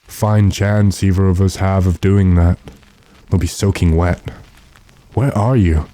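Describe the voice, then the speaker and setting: A man playing a weary, decisive gentleman master with a dry, commanding manner in an old-time radio drama. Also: low-pitched, steady tone, a little slow, dry recording. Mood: resolute.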